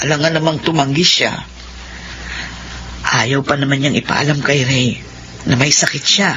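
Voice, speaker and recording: A woman's voice: very deep voice, normal speed, lo-fi sound, a little hiss or hum. An actress playing an anguished, caring mother in an emotional Filipino radio drama. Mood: weary, worried. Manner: emotional, expressive. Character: anguished, caring mother of an ailing adult son. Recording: radio drama with loud music underneath.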